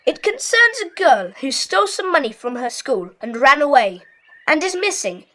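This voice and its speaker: A high, very lively child's voice for an audiobook drama. He is an earnest schoolboy club leader, brisk and serious, giving orders to his friends. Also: exaggerated voice.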